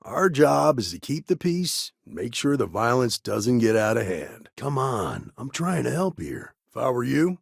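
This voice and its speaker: A man playing a world-weary, dutiful frontier ranger lawman in a sci-fi video game, guarded, stern and plain-spoken, with a husky, gravelly voice. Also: medium pitch, big pitch swings, unhurried, few pauses, dry recording, clean studio sound, exaggerated voice. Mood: cautioning, matter-of-fact. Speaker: video game character actor. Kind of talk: naturalistic video game dialogue acting.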